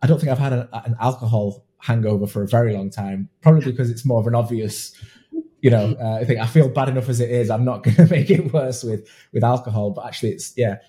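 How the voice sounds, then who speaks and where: A man's voice: medium pitch, fast talker, dark tone. A man hosting an interview, chatty and affirming, often restarting his sentences. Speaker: podcast host. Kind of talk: conversational interview.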